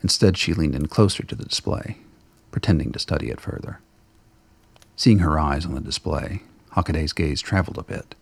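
A man narrating a radio play in a scripted, dramatic storytelling style with a gravelly, husky voice. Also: very deep voice, moderate pace, dry recording.

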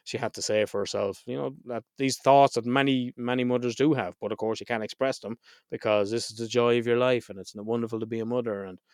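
A man with a head cold talks straight into a close, dry mic, his voice stuffed up and a little nasal. His tone is clear and smooth under the congestion, pitched in the middle, and he speaks bluntly, swearing now and then as he chats to his listeners. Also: steady tone, quick pace, a little mellow, no room sound. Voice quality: slightly nasal. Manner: frank, swears freely. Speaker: podcast host. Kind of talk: casual solo podcast intro.

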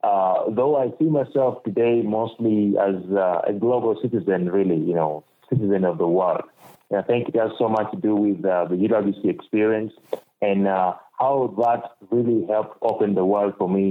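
A young man from Uganda, a guest on a podcast interview, speaking earnestly and often repeating words as he thinks. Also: fairly low pitch. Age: young adult. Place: home in Uganda, remote call. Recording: tinny phone-like call audio.

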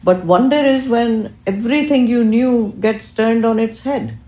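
A woman's voice: a little high, unhurried, dark tone, reverberant. An older woman, a native Urdu speaker, giving eloquent, reflective interview answers as a physician and researcher, phrased with deliberation.

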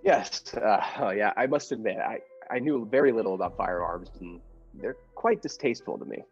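An actor playing a timid, henpecked little husband in an old-time radio comedy, stammering and fussily polite. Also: male voice, fairly low pitch, expressive, normal speed. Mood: flustered, apologetic. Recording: dull lo-fi audio with music behind.

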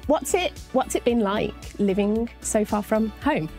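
A woman interviewer asks short questions in a slightly high voice. Faint music plays in the background. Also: animated, unhurried, darkish tone. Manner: brief prompts and questions.

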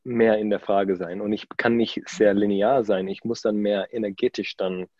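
A man who was born in Germany and grew up in Australia, speaking somewhat halting German with a background, full of fillers and restarts, as a guest in a casual interview. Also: fairly low pitch, steady tone, a little mellow, dry recording, dull audio. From Germany, raised in Australia.

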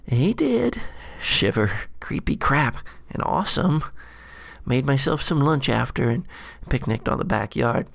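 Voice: mid-range voice, very animated, unhurried, gravelly, no echo, heavily acted. A performer voicing a scrappy, slangy adventurer who mutters and curses through a jittery diary monologue. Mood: cheeky, chatty. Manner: slangy, cursing, self-interrupting monologue. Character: scrappy slangy jittery adventurer Skeen recounting a bad day. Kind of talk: in-character audio diary monologue.

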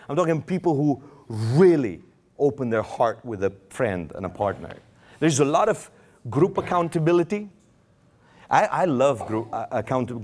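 A man preaching a sermon in English with a Romanian accent, his first language Romanian, acting out quoted prayers with raised voice. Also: medium pitch.

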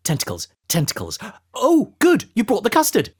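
A man's voice: very high voice, wildly swooping pitch, normal speed, breathy edge, exaggerated voice. A man playing a quirky, fussy time-travelling adventurer in a children's drama. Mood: quirky, excitable. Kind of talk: children's audio drama acting.

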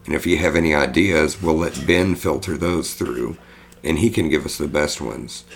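Deep gravelly man, joking podcast host. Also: moderate pace. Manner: joking, swears freely. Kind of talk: casual podcast chat.

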